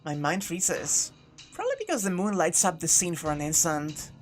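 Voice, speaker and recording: Lower-pitched woman reading a game aloud. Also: very animated, normal speed. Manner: informal, sweary, jokey asides. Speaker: host of a game playthrough. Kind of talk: reading a visual novel aloud with casual commentary. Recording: music playing behind the voice. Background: game music.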